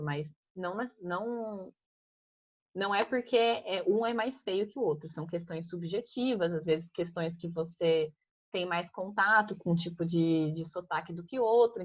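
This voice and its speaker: A young woman from Piracicaba in Brazil, teaching a casual grammar class and often checking in with "né?". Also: on the low side. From Piracicaba, São Paulo state, Brazil. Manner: conversational, frequent "né?" tags and restarts. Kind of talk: informal online grammar class. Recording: muffled lo-fi audio.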